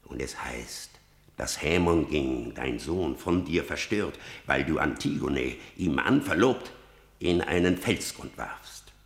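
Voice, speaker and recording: A man's voice: rumbling low, expressive, slow, reverberant, full character voice. An actor playing an old blind male seer, speaking in grave, cryptic verse. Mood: probing. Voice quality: gravelly, solemn declamation. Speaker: actor in radio drama. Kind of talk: stage verse drama.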